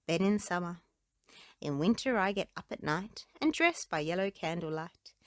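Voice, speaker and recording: A woman's voice: on the low side, lively intonation, normal speed, no room sound, studio quality. A woman reading children's storybooks and nursery rhymes aloud as a narrator. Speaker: children's book reader.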